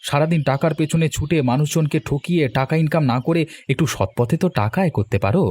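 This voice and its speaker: A man's voice: fairly high pitch, fast talker, a little mellow, dry recording, lightly acted. A man voicing a village man in a cartoon, with an emphatic, conversational manner. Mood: indignant, scolding. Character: male villagers in a cartoon, bantering and quarrelling. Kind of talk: Bengali cartoon voice acting. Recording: faint music behind the voice.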